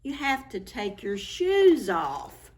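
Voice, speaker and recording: A fussy, loving storybook mama dinosaur, played by a woman reading aloud. She is high and swoopy, exclaiming in sing-song as she gently chides her little one. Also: sing-song, takes it slowly. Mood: playful scolding. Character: fussing, affectionate mama dinosaur scolding her messy child.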